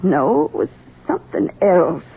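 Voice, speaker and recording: A sour, resigned old matriarch in a radio play. She is dry and measured, with a husky voice. The recording is narrow and dull like an old broadcast. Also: on the low side, big pitch swings, exaggerated voice. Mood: musing, ominous. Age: older. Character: sour, resigned old matriarch hiding after faked death. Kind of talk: radio drama acting.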